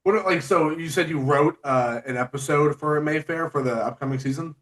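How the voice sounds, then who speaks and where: A man's voice: on the high side, moderate pace, a bit bright. A man chatting casually as a podcast interviewer, asking questions and sharing his own remarks. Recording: distant from the microphone.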